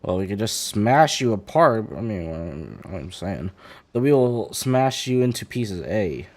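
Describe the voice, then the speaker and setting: A man's voice: on the low side, very animated, a little slow, darkish tone. A man streaming a video game with casual, sarcastic, swearing reactions to it. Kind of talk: casual reactions while playing.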